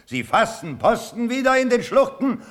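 Loyal old officer, strongly varied pitch. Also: male voice, very animated, moderate pace, fairly bright tone, full character voice. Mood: defiant, impassioned. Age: older. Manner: earnest, formal, declamatory. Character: loyal old male cavalry colonel, deferential yet stubborn. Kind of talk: classical German stage verse radio drama.

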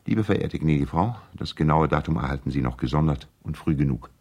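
Radio drama role: courteous, persuasive attorney, very low bass voice, earnest letter-reading. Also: male voice, a little fast, darkish tone. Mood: courteous, urging. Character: urbane, persuasive male lawyer and family friend. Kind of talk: radio play, letters read aloud in character.

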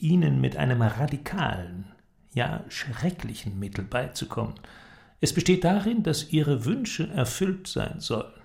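An actor playing a sceptical, resolute inventor with a measured, ironic and formal way of talking in an audio drama. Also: male voice, mid-range voice, vocal fry, a little mellow. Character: worldly, sceptical male inventor, resolute and probing. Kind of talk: German audio drama acting. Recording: music under the voice.

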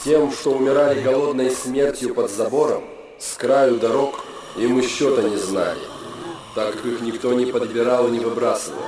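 An actor playing a chronicler who solemnly recalls disasters of old, in declamatory radio drama narration. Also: male voice, mid-range voice, moderate pace, crowd noise, close to an everyday voice. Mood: grave, bleak. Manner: grave, declamatory storytelling. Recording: clear background ambience noise.

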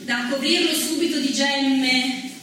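Far from the mic in a very echoey space with music behind, a didactic female narrator of women's history. She has a high voice and speaks expressively in a theatrical monologue, with dramatic pauses. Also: steady tone, fairly bright tone. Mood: knowing, instructive. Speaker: stage actress. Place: theatre stage, recorded from afar.

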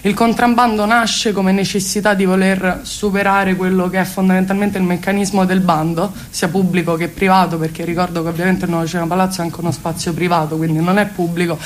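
Woman, low pitch, earnest hesitant explainer, interview guest, noisy room ambience. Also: level tone, brisk, few pauses. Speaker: guest, cultural space activist. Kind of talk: spoken radio interview, explanatory.

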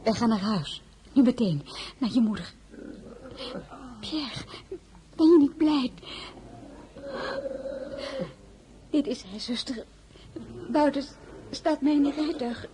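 An actress in a period radio drama playing a determined marshal's wife with an insistent, commanding manner. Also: female voice, high-pitched, expressive, takes it slowly, pauses often, warm tone. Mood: urgent, tender. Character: determined, high-born married woman, marshal's wife. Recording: noisy radio drama recording.

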